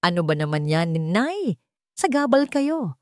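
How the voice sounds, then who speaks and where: A woman voicing an angry, impatient young wife in a narrated story, with sharp, exasperated delivery. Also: lowish voice, sing-song, a little slow, smooth, no echo, clean studio sound. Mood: furious. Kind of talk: storybook narration with acted dialogue.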